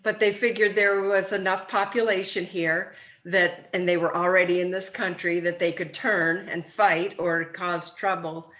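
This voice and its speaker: A woman's voice: on the low side, level tone, a little slow, few pauses, fairly bright tone. A woman chatting casually with family on a video call, explaining things in a tentative, informal way. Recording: muffled video call audio.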